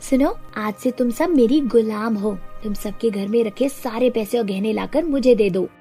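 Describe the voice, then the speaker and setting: A woman acts out a greedy young cartoon girl with a bossy, gloating manner. Her voice is high and mellow, over background music. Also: normal speed, natural voice. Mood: commanding.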